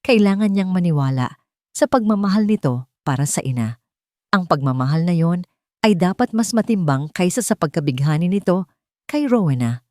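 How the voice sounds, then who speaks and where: A woman's voice: lowish voice, expressive, normal speed, dark tone, no room sound, clean studio sound. A woman narrating a scripted dramatic story in a suspenseful way, with short emphatic phrases.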